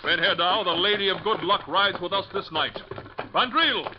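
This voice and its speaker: A man playing a high-pitched, eager quest leader. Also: moderate pace, close to an everyday voice. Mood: eager, commanding. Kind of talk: old-time radio drama acting. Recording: muffled, noisy vintage radio recording.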